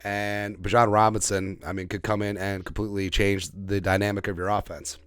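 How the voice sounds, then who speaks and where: A man's voice: lowish voice, little pitch movement, brisk, a bit bright, clean tone, no echo. A man hosting a sports podcast, giving off-the-cuff, opinionated football commentary and repeating phrases for emphasis.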